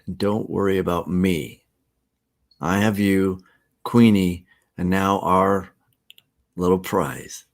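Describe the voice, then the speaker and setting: A man's voice: low-pitched, steady tone, takes it slowly, long pauses. A man reading aloud, voicing a devoted husband who teases in a mock-formal way. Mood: tender. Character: devoted husband, joking and tender.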